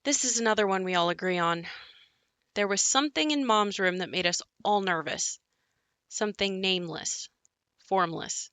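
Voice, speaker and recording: A woman plays an uneasy daughter from a haunted household in an audio play. Her voice is bright and clear-edged at a middle pitch. The sound is dry, with little room around it. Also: normal speed, no room sound, close to an everyday voice. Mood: uneasy, reflective. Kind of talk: audio play monologue and dialogue.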